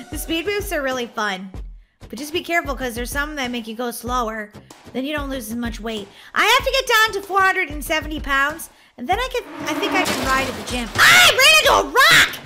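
An excitable, giggly woman hosting a gaming video with playful commentary and lots of exclamations. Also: high-pitched. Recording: music under the voice. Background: music.

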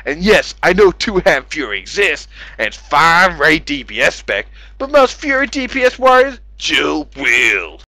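A man's voice: a little high, vocal fry, pushed and bright, dry recording. A man doing a sarcastic, exasperated, profane dramatic reading of forum posts, exaggerating as he goes. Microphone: close mic on gaming voice chat.

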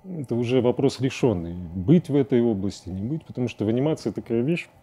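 A man in his forties giving halting, thoughtful answers as a guest in a studio interview. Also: mid-range voice, expressive, dark tone, breathy edge. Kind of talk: reflective studio interview talk. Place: indoor TV studio at a desk.

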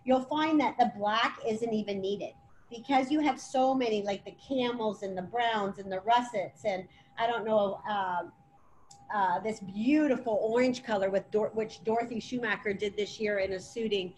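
A middle-aged woman presenting as a stylist in an informal webinar, explaining in a halting way over a video call. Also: medium pitch, darkish tone, poor audio. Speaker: colour analysis stylist presenting. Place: clothing boutique, indoors. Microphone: laptop or tablet on video call. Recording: video call with clear background noise.

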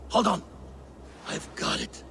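A man playing a brash, hot-tempered Norse thunder god in a video game, gravelly and blunt. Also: slow, pushed and bright, slight background noise. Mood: gruff scorn. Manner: blunt, bellowing battle cries and threats. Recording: music behind the voice.

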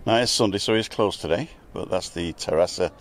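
A man's voice: low voice, a little fast. A middle-aged man talking to camera outdoors in a casual, rambling vlog style. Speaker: vlogger. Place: outdoors at a bus stop and a bar terrace.

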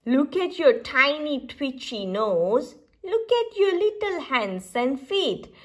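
A woman's voice: sits high, big pitch swings, slow pace, pitched above the performer's own voice, heavily acted. Woman playing a teasing, emphatic storybook tiger. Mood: mocking.

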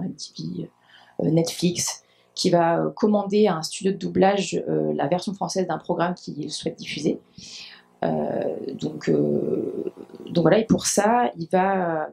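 Woman in her thirties, gravelly, mellow, hesitant with fillers, handheld mic, lecture hall panel. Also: lowish voice. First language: French. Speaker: dubbing adapter speaking on a panel. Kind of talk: conference panel talk.

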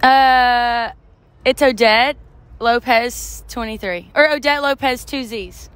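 A woman's voice: fairly high pitch, slow, a bit bright, clean tone, no echo. A young woman answering interview questions on a night street, hesitant and shy, with many fillers. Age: young adult.

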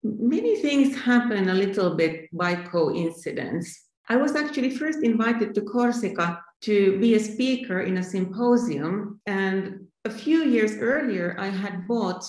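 A Finnish woman in her fifties, an artist calmly and thoughtfully answering questions over a video call from home. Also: mid-range voice, measured pace, few pauses. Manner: thoughtful, reflective, restarts phrases. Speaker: artist guest. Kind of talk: remote interview answers. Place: sunny room at home. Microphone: video call laptop camera. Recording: echoey room.

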